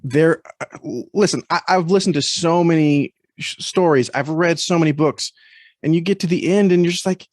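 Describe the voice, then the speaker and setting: A man speaking as a guest critic in a thoughtful podcast conversation, measured and often repeating words as he chooses them. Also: fairly high pitch, a little mellow, dry recording, studio quality.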